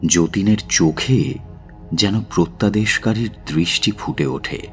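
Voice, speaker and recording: A man narrating a literary audio story in a measured, dramatic style. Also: sits low, soft background music.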